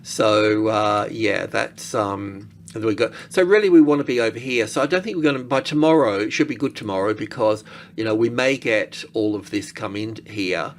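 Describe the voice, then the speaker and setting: A man giving casual, unscripted screen-share commentary as an analyst, halting and thinking aloud as he goes. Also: fairly low pitch, slight background noise. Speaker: market analyst presenting.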